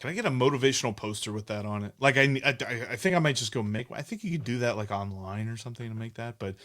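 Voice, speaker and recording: A man's voice: mid-range voice, lively intonation, quick pace, sharp tone. A man hosting a sports podcast, chatting casually and giving his opinions with frequent restarts. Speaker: podcast host.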